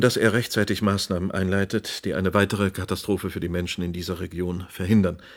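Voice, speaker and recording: Radio news presenter, lowish voice, earnest, formal broadcast phrasing, radio play. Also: male voice. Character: earnest male radio presenter facing catastrophe. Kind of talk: radio drama acting, broadcast moderation.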